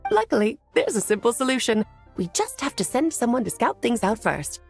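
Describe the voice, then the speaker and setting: An elegant game sorceress, with a highish, very lively voice. She is whimsical and self-assured, speaking in a breezy, genteel, teasing way over faint music. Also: brisk, creaky, breathy edge, full character voice. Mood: confident. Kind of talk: anime game voice acting.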